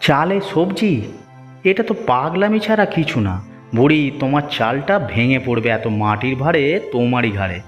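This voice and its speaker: A man acts a boss's lackey in a cartoon fable, quick to flatter and to sneer. The voice sits at an ordinary male pitch. It rings in long reverb, slightly dull, over faint music. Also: natural voice. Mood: mocking threat. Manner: sneering, scolding, obsequious to his boss. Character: mocking, flattering male henchman of village headman. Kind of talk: cartoon moral-story voice acting. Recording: muffled, echoing hall-like reverb, music behind.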